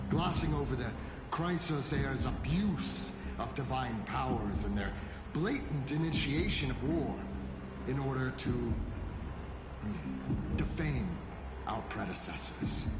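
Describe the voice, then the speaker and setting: An actor playing a smooth, sinister cult leader who speaks with mocking courtesy. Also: male voice, a little high. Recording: game audio with roomy reverb and loud music.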